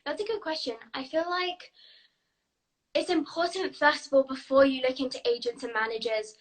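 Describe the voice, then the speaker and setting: A woman's voice: high voice, fairly even intonation. A young British woman, an actress, answering interview questions in a chatty, upbeat way. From United Kingdom. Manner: chatty, upbeat, quick to laugh.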